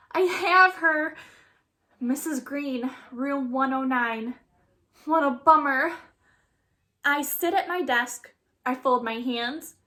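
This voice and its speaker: A woman's voice: high-pitched, sharp tone, exaggerated voice. A woman voicing an anxious young schoolchild in a storybook, telling of the first day in a wide-eyed, theatrical way. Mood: dismayed.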